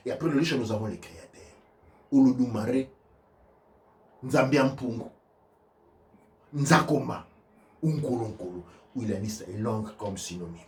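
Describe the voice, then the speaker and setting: A man teaching about ancestors and offerings, answering questions in a halting, emphatic way with many repeated words. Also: medium pitch, recorded from a distance. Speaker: spiritual teacher. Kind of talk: religious teaching, live Q&A.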